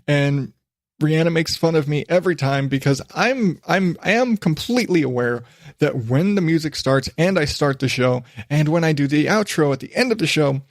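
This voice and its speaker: Chatty man with a higher-pitched podcast voice. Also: expressive, normal speed, dry recording, studio quality. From western United States. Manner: chatty, self-deprecating, digressive. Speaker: podcast host. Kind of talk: rambling solo podcast monologue. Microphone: studio microphone.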